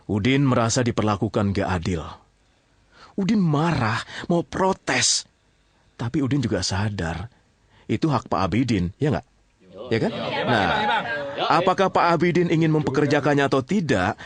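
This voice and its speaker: A man's voice: mid-range voice, lively intonation, normal speed, pauses often. A man with an Indonesian accent telling a story informally, often checking in with his listeners. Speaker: storyteller narrator addressing listeners.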